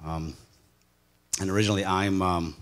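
A man's voice: sits low, steady tone, normal speed. A middle-aged man born in Ukraine and raised in the United States, speaking to a congregation in an earnest, conversational way and often stopping to rephrase. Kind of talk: informal talk to a congregation.

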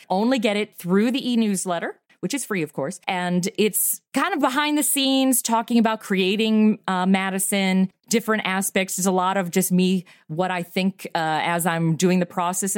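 A woman's voice: mid-range voice, no room sound, clean studio sound. A woman chatting informally as a guest in a casual interview. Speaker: interview guest, audio comedy creator.

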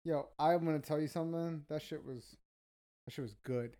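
A man's voice: a little high, normal speed, dark tone. A man co-hosting a podcast, chatting casually about an album, rambling with lots of fillers and swearing. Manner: rambling, profane, many fillers. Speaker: podcast co-host. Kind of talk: casual music review chat.

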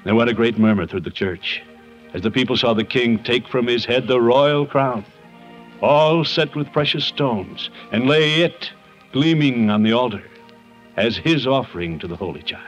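A man's voice: medium pitch, normal speed, soft background music, lightly acted. An American actor in 1950s radio style playing a kindly, sheepish middle-aged man who tells a Christmas story expressively and unhurriedly. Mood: earnest, reverent. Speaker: lead actor of a radio comedy. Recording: dull vintage 1950s radio recording.

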